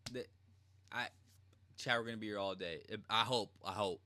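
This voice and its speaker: A man's voice: lowish voice, moderate pace, noisy background. A man streaming live reactions to a video game, speaking casually in a slangy, rambling way. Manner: slangy, rambling, mimics characters in quotes.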